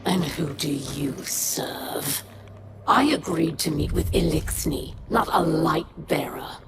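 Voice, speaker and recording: A woman playing a proud, bitter alien warlord queen in a video game, speaking with scornful command and dramatic pauses. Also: deep voice, expressive, heavy background noise, exaggerated voice. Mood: cold, contemptuous.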